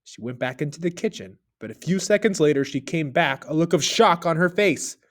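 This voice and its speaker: Animated male narrator for a read-aloud fable, bright and lively melody. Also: fairly quick. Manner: expressive, dropping low for narration tags. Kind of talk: children's story read-aloud.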